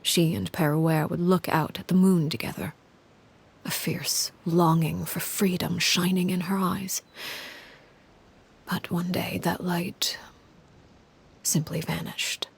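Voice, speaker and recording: A woman voice actor playing a composed, cold, commanding woman who narrates a grim past in a game, in a measured, grave, articulate manner. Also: lowish voice, flat delivery, creaky, slightly rough, full character voice. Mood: grave, restrained. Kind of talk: scripted video game story narration.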